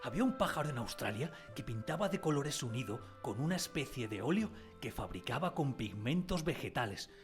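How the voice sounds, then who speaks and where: A man playing a narrator who fondly recalls his village schooldays, in expressive scripted radio drama storytelling. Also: medium pitch, fairly quick, few pauses, music in the background, lightly acted. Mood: absorbed, wondering.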